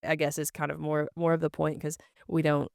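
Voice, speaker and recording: A man with a smooth, clear voice pitched a bit high hosts a casual podcast. He talks in an earnest, somewhat halting way, and the sound is dry. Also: completely flat delivery, no echo. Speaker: podcast host.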